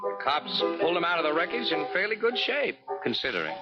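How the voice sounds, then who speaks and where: Loud music sits behind a muffled old radio recording of an easygoing newspaperman. His slightly high voice moves in a lively, slangy way. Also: brisk. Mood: relaxed. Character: easygoing newspaperman recapping a solved case. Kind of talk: 1940s radio drama acting.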